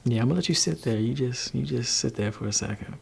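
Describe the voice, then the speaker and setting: A man voicing a gentle, laid-back father in an ASMR roleplay, talking softly and whispering to his teenage child in a teasing way. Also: fast, creaky, slightly rough, full character voice. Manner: soft-spoken, shifting between low voice and whisper. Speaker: ASMR creator.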